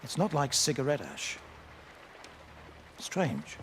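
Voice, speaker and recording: A thoughtful village doctor in a story-driven game. His mid-pitched voice is measured, with faint ambient noise behind it. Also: wildly swooping pitch, a bit bright, exaggerated voice. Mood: puzzled, measured. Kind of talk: naturalistic video game voice acting.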